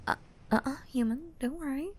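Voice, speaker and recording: A woman voicing a gentle, nurturing mermaid in a roleplay ASMR audio drama, soothing and doting with a breathy, whispery delivery. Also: sits high, a little fast, slight background noise. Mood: tender, soothing. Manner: soothing, doting, uses pet names. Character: gentle, nurturing mermaid rescuing a drowning human.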